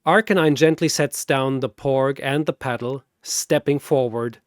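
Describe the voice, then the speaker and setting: Slow, theatrical male storyteller. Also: mid-range voice, dry recording, clean studio sound. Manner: theatrical, deliberate. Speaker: narrator. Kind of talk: dramatic scripted storytelling, battle commentary.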